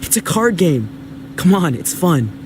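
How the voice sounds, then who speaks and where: An eager teenage boy out to prove himself. The voice is fairly high and boyish, insistent and a bit cocky, in a natural game-drama style, with faint vehicle noise. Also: sing-song, measured pace. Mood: playful, eager.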